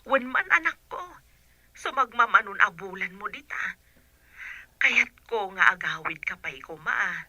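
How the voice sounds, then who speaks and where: A woman's voice: mid-range voice, animated, a little slow, long pauses, pushed and bright, dry recording, highs cut off, exaggerated voice. A woman with an Ilocano accent playing a caring, lonely village mother in a radio drama. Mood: longing, tender.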